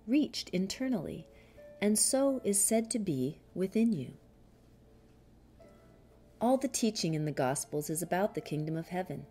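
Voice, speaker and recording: A woman reading a spiritual commentary as an audiobook, in a measured, deliberate manner with frequent pauses. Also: fairly low pitch, warm tone.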